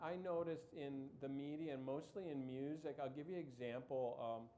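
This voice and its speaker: A man in his fifties speaks on a stage panel, excited and tumbling over his words with frequent restarts. His voice sits at an ordinary middle pitch. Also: fairly quick, slight background noise. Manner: animated, stammering restarts. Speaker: show creator, panel guest. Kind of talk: panel discussion.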